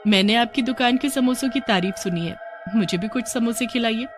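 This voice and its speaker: A woman voicing a charming, confident young woman in a story, in a light, expressive manner. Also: a little high, brisk, music in the background, pitched above the performer's own voice, lightly acted. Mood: sweet, polite. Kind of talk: dramatised Hindi storytelling.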